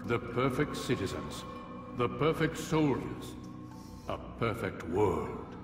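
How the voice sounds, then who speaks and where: A man playing a grave, wise master of an assassin order in a video game, speaking in measured, solemn oratory. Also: medium pitch, sing-song. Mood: grave, intent. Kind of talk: dramatic video game dialogue. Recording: echoey hall, distant, music behind.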